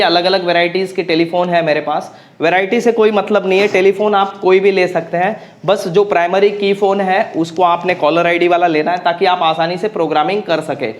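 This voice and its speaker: Man giving a practical technical tutorial. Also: high voice. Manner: repeats key phrases for clarity; mixes in English technical phrases. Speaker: technical instructor.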